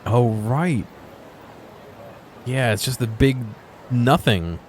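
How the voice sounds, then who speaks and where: A man giving casual, off-the-cuff video game commentary, thinking aloud in halting fragments and questions to himself. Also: mid-range voice, lively intonation, slight background noise.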